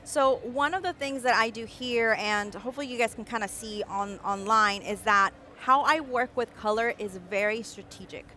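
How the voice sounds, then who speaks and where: A bright, slightly high woman from Caracas talks through her design work with lively enthusiasm. She speaks as a guest in a live-stream interview, and faint noise hangs behind her voice. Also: normal speed, few pauses. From Mexico City, raised in Caracas, Venezuela.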